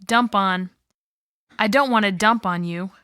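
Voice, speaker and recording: A woman reciting phrases for a language lesson with careful, clear enunciation. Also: mid-range voice, a little slow, sharp tone. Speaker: phrase reader.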